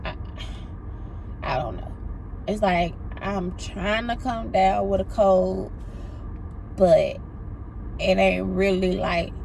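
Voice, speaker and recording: A woman's voice: mid-range voice, slow, gravelly, long pauses, darkish tone, a little hiss or hum, low-quality recording. A young woman, a pediatric nurse and vlogger, talking casually to her viewers with a stuffy, congested voice. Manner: chatty, drawling, addresses viewers as y'all. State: congested, sniffling. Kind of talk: casual vlog chat. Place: car and errands.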